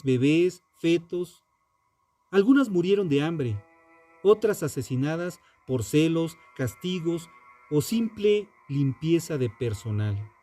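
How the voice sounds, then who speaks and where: Male narrator for true crime: mellow, dark-toned, unhurried and grave, sententious scripted delivery over music. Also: on the high side. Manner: solemn, deliberate, sententious. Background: background music.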